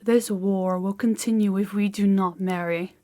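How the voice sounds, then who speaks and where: A woman's voice: mid-range voice, steady tone, measured pace, warm tone, lightly acted. A woman playing a commanding, haughty young princess in a scripted fantasy audio roleplay, speaking in an imperious, condescending way. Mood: stern, exasperated.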